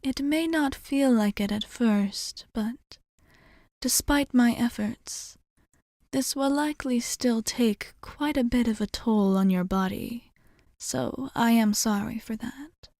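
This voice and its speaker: Woman playing a slow, softly whispering vampire bartender. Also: on the high side, no room sound, studio quality. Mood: reassuring, polite. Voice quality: soft, breathy, partly whispered. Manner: formal, measured, courteous, hesitant pauses. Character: polite, composed female vampire bartender, gently protective. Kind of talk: ASMR roleplay, soft whispery speech to the listener.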